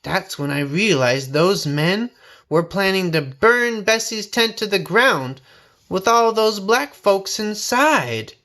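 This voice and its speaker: A man reading a folksy first-person story aloud in a dramatic, expressive, suspenseful way. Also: sits high, takes it slowly. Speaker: storybook reader.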